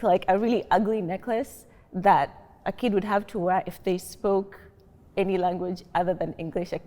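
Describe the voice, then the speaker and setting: A woman's voice: medium pitch, dark tone. A woman from Kenya with a Kenyan accent, thoughtfully sharing her experiences as a panelist in a discussion. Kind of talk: reflective discussion. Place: large library reading room. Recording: long reverb of a large hall.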